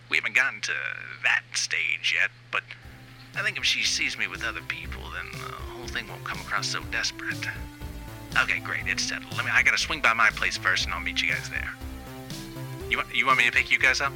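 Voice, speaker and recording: A man's voice: lowish voice, gravelly, pushed and bright, full character voice, low-quality recording. A man playing a self-important, sleazy new wave band frontman in a rambling, conversational comic monologue. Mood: chummy, rambling. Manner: rambling, smug, halting asides. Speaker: writer and reader of a comic fiction series.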